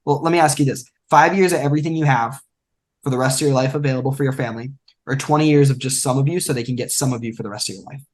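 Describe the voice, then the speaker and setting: A man's voice: mid-range voice, very fast, darkish tone. A young man in his twenties, a podcast guest speaking emphatically in a coaching, motivational way. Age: 20s. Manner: emphatic and coaching, acts out quotes. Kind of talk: motivational conversation.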